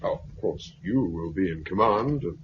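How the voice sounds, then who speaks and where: Menacing British official, 1950s radio drama: condescending, brisk, very varied intonation, dull hissy recording. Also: male voice, low-pitched, lively intonation. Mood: coolly authoritative. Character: self-appointed regional commander, smooth, officious, menacing man.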